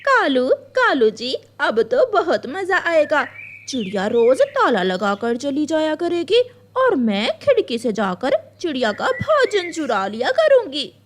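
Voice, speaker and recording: Woman voicing an excitable, high-pitched sly cartoon crow. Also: slow pace, heavily acted. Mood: gleeful. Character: lazy, scheming, gleeful female crow in a cartoon.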